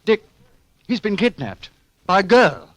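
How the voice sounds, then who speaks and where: An actor playing an anxious sidekick in 1940s radio style, earnest and agitated. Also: male voice, high-pitched, big pitch swings, a little slow, fairly bright tone, no room sound, heavily acted. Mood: worried, frantic. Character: anxious, frantic American sidekick searching for friend.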